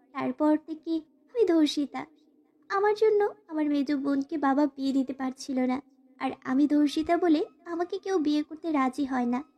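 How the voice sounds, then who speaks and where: A woman's voice: high-pitched, mellow, natural voice. A woman voicing a timid, sorrowful young wife who narrates a dramatized romantic story and pleads submissively. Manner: formal, submissive address to her husband.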